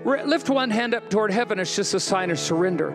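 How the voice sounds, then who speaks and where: A middle-aged American man, a pastor preaching emphatically on a church stage into a handheld mic, then leading a soft altar-call prayer. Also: sits high, expressive, fast, over music. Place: large church stage with band.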